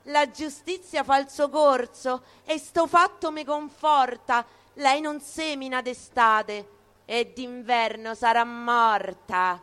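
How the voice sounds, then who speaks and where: Woman, Roman accent, smooth resonant voice, fairly high, rhythmic rhymed storytelling. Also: slow, fairly bright tone. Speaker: poetry reader. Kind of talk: rhythmic recital of rhyming fable.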